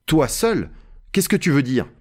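An excitable, bossy gentleman treasure hunter from a storybook, acted out by a male reader. He calls out orders impatiently in a raised, swooping voice, agitated and imperious. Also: fairly high pitch, unhurried, voice pushed up. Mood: urgent.

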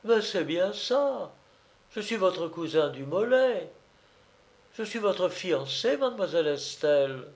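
A man's voice: high voice, expressive, slow pace, pitched above the performer's own voice, exaggerated voice. A man voicing a hapless bridegroom in a comic storybook tale, protesting in a plaintive, faltering, flustered way. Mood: bewildered. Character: hapless flustered bridegroom, pleading indignant provincial cousin.

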